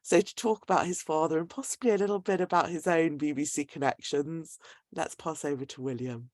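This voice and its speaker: A woman's voice: low voice, brisk, a little mellow, no echo. A woman hosting a talk and introducing a guest in an articulate, explanatory way. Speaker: host introducing a guest speaker. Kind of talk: semi-scripted event introduction.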